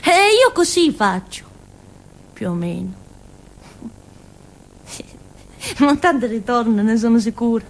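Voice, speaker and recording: An actress playing a naive, childlike village simpleton girl with a Calabrian accent, in a halting, repetitive theatre monologue. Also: fairly high pitch, sing-song, exaggerated voice. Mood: wistful. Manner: simple, repetitive, halting, with questioning tags and giggles. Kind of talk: theatrical monologue in colloquial dialect-tinged Italian.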